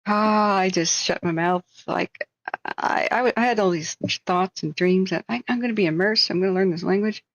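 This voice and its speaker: A woman's voice: medium pitch, normal speed, dry recording, dull audio. A woman hosting a live stream, chatting casually in a rambling, joking, self-deprecating way.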